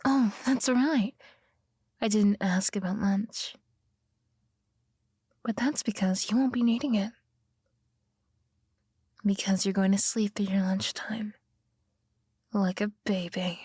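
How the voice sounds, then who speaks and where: Breathy, slow yandere girlfriend, acted by a woman. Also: medium pitch, steady tone, no echo, studio quality. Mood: sweetly controlling. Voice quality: breathy, often whispering. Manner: slow, coaxing, softly threatening. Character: possessive yandere girlfriend, sweetly menacing, obsessive kidnapper. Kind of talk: intimate ASMR roleplay acting.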